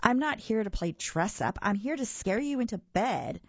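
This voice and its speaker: A woman voicing a haughty, snarling female clawed monster with a raspy voice in a children's story. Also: high voice, huge pitch swings, unhurried, a little mellow, no echo, muffled recording, voice pushed up, full character voice. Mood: indignant.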